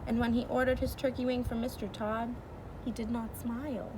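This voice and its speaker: A woman with a fairly high voice reads a children's story aloud like a teacher. Her delivery is expressive and explanatory. The recording has clear noise in the background. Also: normal speed. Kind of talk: children's storybook read-aloud with simple commentary.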